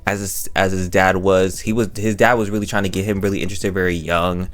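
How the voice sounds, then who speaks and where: A man's voice: low voice, flat delivery, a little fast. A man giving a casual, slangy review commentary, rambling, repeating his phrases and correcting himself as he goes. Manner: slangy, informal. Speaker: review channel host.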